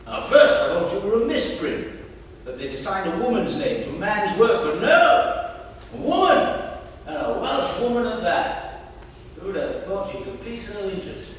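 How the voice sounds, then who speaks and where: A man's voice: sits high, big pitch swings, normal speed, a little hiss or hum. Casual drunk toff, nosy, sly, drawls then bursts out, played by a man on a distant stage. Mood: prying, teasing. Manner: theatrical, sly, drawling with sudden outbursts. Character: drunk posh upper-class man, nosy and teasing. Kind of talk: stage play performance. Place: theatre stage. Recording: echoey theatre stage from far off.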